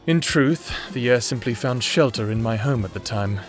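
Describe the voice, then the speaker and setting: A man acts a new prisoner in an audio drama with a mid-range voice and soft music underneath. He is earnest and guarded, with formal wording and a touch of indignation. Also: medium pitch, expressive, moderate pace, close to an everyday voice. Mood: defensive. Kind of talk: audio drama character acting.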